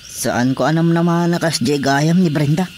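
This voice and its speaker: A woman's voice: deep voice, normal speed, darkish tone, slight background noise, natural voice. A woman playing a hapless, complaining comic in-law in a radio drama, with an Ilocano Filipino accent. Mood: dejected. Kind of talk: radio drama comedy acting.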